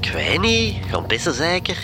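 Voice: mid-range voice, wildly swooping pitch, moderate pace, music in the background. A performer playing a sulky, reluctant young man, a touchy ex-boyfriend, with a grumbling colloquial way of talking in a radio comedy drama.

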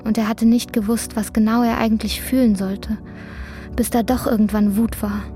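A woman's voice: on the high side, steady tone, moderate pace, dark tone, soft background music, close to an everyday voice. A woman narrating a literary radio drama, recounting an anxious man's inner thoughts. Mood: reflective, measured.

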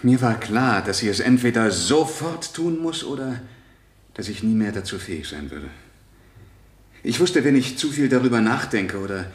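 A man's voice: on the low side, close to an everyday voice. An actor playing an anxious, hesitant husband and father testifying at his trial in a radio play. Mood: agitated, defensive. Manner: hesitant, halting, searching for words. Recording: echoey room, 1970 radio play.

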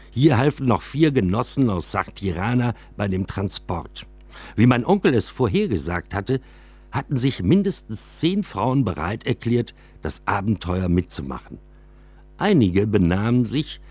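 A man narrating a scripted first-person story for a radio drama, with an expressive delivery. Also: fairly low pitch, breathy edge, no room sound.

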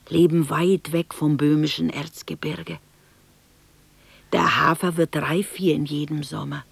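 Slow, husky aging widow. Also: female voice, deep voice, dry recording, exaggerated voice. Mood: wistful, plain. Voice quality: husky, breathy, creaky. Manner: halting, hushed, reminiscing in short fragments. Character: aging widowed mother from Bohemia, wistful, anxious, homesick. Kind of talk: 1960s German radio drama monologue.

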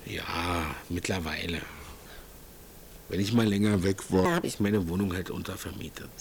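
An actor playing a laid-back handyman who lets flats, with an offhand, colloquial manner in casual radio play dialogue. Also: low voice, normal speed, slight background noise.

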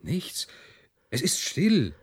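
A stern male general in a radio play. His voice is very rough and on the low side, delivered slowly in curt phrases with wide swings of pitch. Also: wildly swooping pitch, exaggerated voice. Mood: stern, concerned. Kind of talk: German radio play acting.

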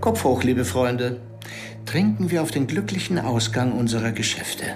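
An actor playing a smooth, jovial and ruthless male power broker who talks in a hearty, genial tone in a radio drama. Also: mid-range voice, expressive, moderate pace, a little mellow, faint music behind, natural voice. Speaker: politician.

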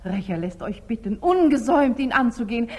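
Insistent female companion, high-voiced and husky, emphatic old radio-drama delivery. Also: big pitch swings, brisk. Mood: urgent. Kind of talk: 1940s German radio stage acting.

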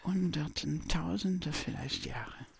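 A woman musing poetically outdoors, her voice hushed at the ends of phrases. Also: low-pitched, slow, gravelly, slightly rough. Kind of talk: multilingual poetic observation. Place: outdoors in a forest.

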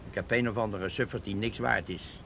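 A man's voice: lowish voice, steady tone, fairly quick, vocal fry, background noise. A man playing a grumbling, scornful police detective in a Dutch radio play, talking emphatically.

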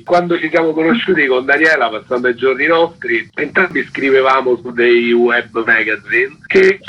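A man's voice: medium pitch, breathy edge, dull audio. A man explaining things colloquially in an informal radio interview as a fanzine co-editor.

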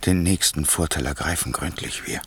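A man's voice: very deep voice, moderate pace, breathy edge, full character voice. An actor playing a cold, resolute plotting nobleman in a classical German radio play.

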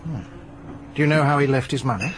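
A man's voice: medium pitch, fast, lightly acted. An actor playing a polite, methodical male police inspector who questions witnesses in a radio drama. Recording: archive radio drama with light hiss.

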